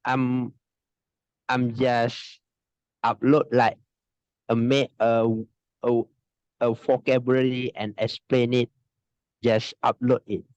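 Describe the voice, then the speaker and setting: Slow, halting man on a video call. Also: medium pitch, level tone, darkish tone, dry recording. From Indonesia. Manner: halting, hesitant speech with many restarts and frequent laughs. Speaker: online English teacher, interview guest. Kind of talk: casual video-call interview. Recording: dull, muffled video-call audio.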